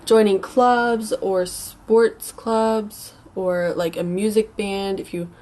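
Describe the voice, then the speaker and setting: A woman's voice: medium pitch, steady tone, slow pace, darkish tone. Stuffy-nosed young American woman chatting casually. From United States. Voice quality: stuffy, congested nose. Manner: informal, rambling, hesitant. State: mildly sick with a stuffy nose. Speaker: advice vlogger. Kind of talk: casual advice chat.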